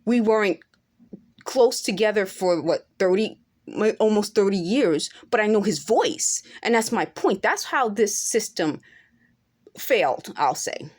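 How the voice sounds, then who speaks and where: A woman's voice: mid-range voice, lively intonation, unhurried, darkish tone. Earnest woman in her forties, insistent. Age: 40s. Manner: earnest, insistent, rising to emphatic peaks. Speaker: vlogger. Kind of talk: unscripted monologue to camera.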